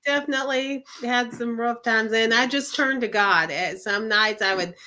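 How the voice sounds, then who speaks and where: A woman's voice: a little high, normal speed, sharp tone. A middle-aged woman co-hosting a casual interview in an agreeable, conversational manner. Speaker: talk show co-host.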